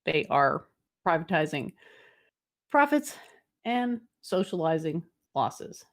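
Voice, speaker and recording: A woman giving informal news commentary in a deliberate, reflective way, pausing mid-phrase. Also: sits low, big pitch swings. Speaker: co-host commentator.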